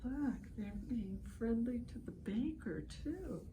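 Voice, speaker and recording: Expressive, slow-paced woman reading to children. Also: fairly high pitch, background noise. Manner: encouraging, expressive, lingers on phrases and prompts listeners. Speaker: read-aloud host for children. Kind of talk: children's storybook read-aloud with questions to young listeners. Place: at home, online session.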